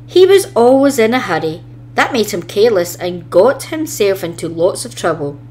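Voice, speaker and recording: A woman's voice: medium pitch, big pitch swings, normal speed, darkish tone, slight background noise. A woman narrating a children's story expressively, reading from a script as a storybook reader.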